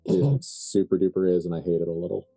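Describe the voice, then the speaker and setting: A man with a low, very dark and dry-sounding voice runs a tabletop game in casual talk. He is informal and wry, with faint music behind him. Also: lively intonation, a little fast, vocal fry, slightly rough, dry recording, rough recording. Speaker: game master.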